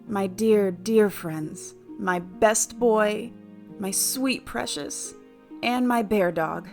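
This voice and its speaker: Actress as slow-talking, earnest, regretful woman. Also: mid-range voice, gravelly, darkish tone, soft background music. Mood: tender, resolved. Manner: earnest, emotive, halting delivery. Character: earnest, regretful career woman newly arrived in afterlife. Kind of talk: radio play acting.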